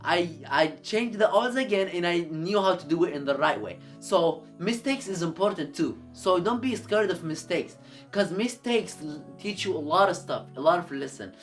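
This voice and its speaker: A man's voice: high-pitched, expressive, moderate pace, a bit bright, soft background music. A man whose first language is Arabic, giving earnest motivational advice to camera in a YouTube video, often repeating and restarting his words.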